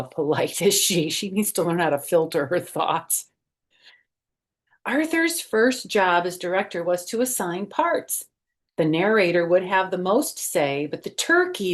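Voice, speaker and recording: A woman reading a picture book aloud to children, explaining things and asking her young listeners questions as she goes. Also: mid-range voice. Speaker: storytime reader and former first grade teacher.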